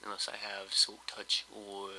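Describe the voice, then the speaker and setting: A man who sounds slightly hoarse from just waking up, giving casual, rambling commentary over his gameplay with lots of hesitations and fillers. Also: fairly low pitch, completely flat delivery, measured pace, bright, forceful tone, slight background noise, rough recording. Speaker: let's play host.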